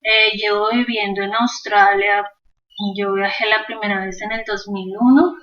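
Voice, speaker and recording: A woman from Colombia, a systems engineer, answering slowly and hesitantly. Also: medium pitch, fairly even intonation, fairly bright tone, distant mic, lo-fi sound.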